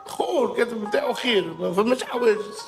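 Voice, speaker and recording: Stammering, creaky Tunisian cartoon husband, played by a man. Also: high voice, quick pace, over music. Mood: sheepish, pleading. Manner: stammering, repeating words, laughing easily. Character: excitable, stingy, working-class husband, wheedling and scheming. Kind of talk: animated sitcom dialogue.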